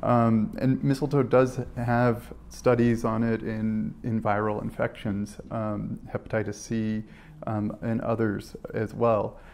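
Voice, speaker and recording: A man, a physician on a panel, explaining in a halting way with many restarts, in a conference room. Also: lowish voice, flat delivery, gravelly, a little mellow, a little hiss or hum.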